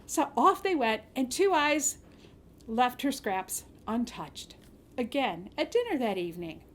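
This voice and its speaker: A woman storyteller with a fairly high voice that swings widely in pitch. She tells a fairy tale to children expressively, with dramatic flair and chatty asides. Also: sing-song, unhurried.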